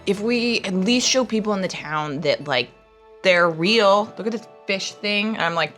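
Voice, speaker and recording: A woman's voice: medium pitch, moderate pace, a bit bright. A woman playing a tabletop roleplaying game, improvising casually in everyday conversational speech. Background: background music.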